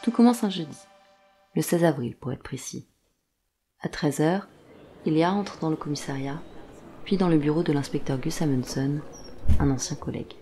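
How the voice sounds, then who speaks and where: A narrator of a scripted audio drama, telling the story in a measured, literary manner. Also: medium pitch, mellow, faint music behind. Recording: faint background ambience.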